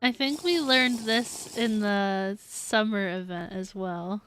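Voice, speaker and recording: A woman's voice: mid-range voice, slow pace, a bit bright, soft background music. A woman livestreaming a game with casual reactions, in a gushing, giggly way. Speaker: game streamer.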